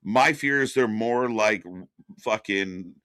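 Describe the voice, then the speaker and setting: A man's voice: on the low side, takes it slowly, bright, forceful tone, no echo. A man co-hosting a live wrestling watch-along stream, wisecracking, laughing often and swearing. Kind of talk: casual live-stream commentary.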